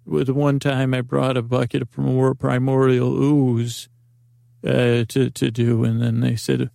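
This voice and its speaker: Podcast guy rambling along, heavy vocal fry, super dry sound, keeps restarting himself. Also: mid-range voice, flat delivery, measured pace, mellow, no room sound. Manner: rambling, full of restarts and self-interruptions; acts out imagined dialogues. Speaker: podcast host. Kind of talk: rambling comic monologue.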